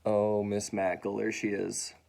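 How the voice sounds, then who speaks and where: A man reading a children's story aloud in an expressive way, acting out sounds and dialogue. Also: lowish voice, measured pace, creaky.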